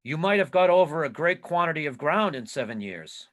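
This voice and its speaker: A man's voice: fairly high pitch, big pitch swings, a little fast, a bit bright. A man playing a frightened, stubborn old miser, theatrical and exclaiming, in an amateur reading of a Victorian story. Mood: humbled, questioning.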